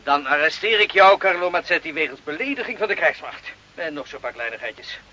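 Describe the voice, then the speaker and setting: An actor in a radio play playing a stern, sarcastic customs lieutenant with a commanding, legalistic manner. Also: male voice, on the high side, lively intonation, fairly quick, bright, forceful tone. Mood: smug, threatening. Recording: noisy, muffled old radio play recording.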